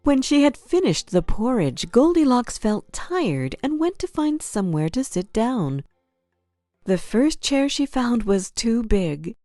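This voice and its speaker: A woman storyteller giving scripted fairy-tale narration for children in an expressive, deliberate way. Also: a little high, dry recording, studio quality, soft background music.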